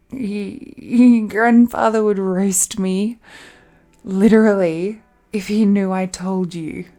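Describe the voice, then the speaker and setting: A woman playing an affectionate, possessive young dragon-blooded bride-to-be in an intimate romantic audio roleplay, speaking in a breathy, giggly, hesitant way. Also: medium pitch, dark tone. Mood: playful, excited.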